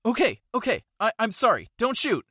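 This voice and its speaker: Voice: mid-range voice, big pitch swings, moderate pace, a bit bright, husky, no room sound, clean studio sound. A performer playing an injured, nervous hotel worker in an audio drama, hesitant and stammering. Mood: frightened.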